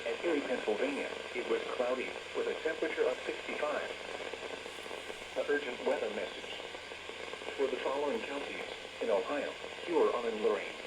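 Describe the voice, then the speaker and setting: An automated male voice with an American accent reads a scripted weather bulletin over the radio in a flat, measured, synthetic way. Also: mid-range voice. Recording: noisy weather radio broadcast, strong static.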